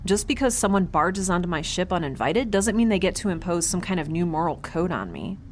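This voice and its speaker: A lowish, expressive female voice. She is a defiant, wry spacefarer who talks conversationally, with sarcastic hesitations. Faint background noise sits under her. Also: fairly quick. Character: defiant, wry young spaceship woman, self-described farmer-zookeeper. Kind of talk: audio drama dialogue.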